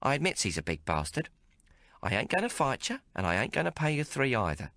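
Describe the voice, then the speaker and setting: A man's voice: mid-range voice, wildly swooping pitch, brisk, creaky, fairly bright tone, dry recording, voice pushed up, heavily acted. A man voicing a bossy, coarse young woman who haggles fiercely in an audiobook. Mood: blunt. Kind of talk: audiobook character voice.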